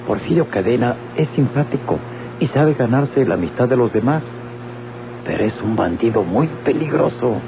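Creaky-voiced, insinuating Mexican gentleman. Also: medium pitch, lively intonation, moderate pace, phone-quality sound. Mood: persuasive. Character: courteous, scheming man pressing women to sell. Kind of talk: old radio drama acting. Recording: noisy, band-limited old radio recording.

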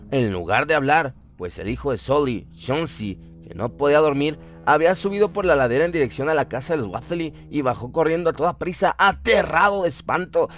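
A man reads scripted horror fiction as the narrator of a radio drama. His voice sits at a medium pitch, with music playing behind it.

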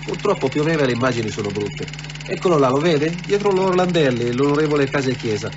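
Radio drama officer male actor: brisk, explanatory, officious, muffled sound with machine hum. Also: fairly high pitch, animated, close to an everyday voice. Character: officious police cameraman explaining evidence.

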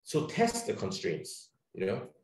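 A man's voice: lowish voice, huge pitch swings, measured pace, echoey room, rough recording. A man with a Singaporean accent leading an online training workshop, chatty and loose, with lots of fillers and restarts. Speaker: webinar trainer. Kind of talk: casual online workshop presentation.

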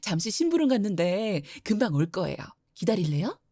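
A woman playing a flower-shop mother in her forties, gentle and teasing, often laughing. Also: medium pitch, very animated, brisk, no echo, clean studio sound. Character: warm mother who runs a flower shop. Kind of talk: audio drama acting.

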